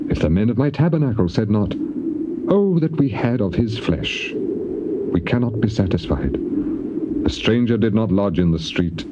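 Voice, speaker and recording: On a dull, hissy old tape, a grieving, righteous man of ancient scripture. His solemn, declamatory voice sits low and swings widely in pitch as he laments and protests his suffering with classical stage intensity. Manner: solemn, declamatory, impassioned rhetorical delivery. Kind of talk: dramatized scripture reading, classical stage acting. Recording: dull, noisy old cassette tape recording.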